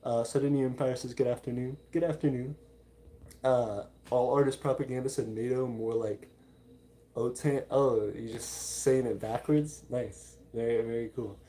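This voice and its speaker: Mellow-voiced man hosting a casual livestream. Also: mid-range voice, fairly even intonation, normal speed. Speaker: livestream host. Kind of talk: casual livestream chat, reading out and answering viewer comments.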